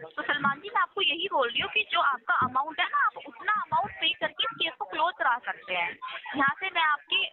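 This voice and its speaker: A woman's voice: extremely high pitch, sing-song, brisk, a crowd in the background. A woman working as a loan recovery call-centre agent, talking persuasively and insistently on the phone, explaining politely and often checking for agreement. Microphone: telephone line. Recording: tinny phone call recording, faint music.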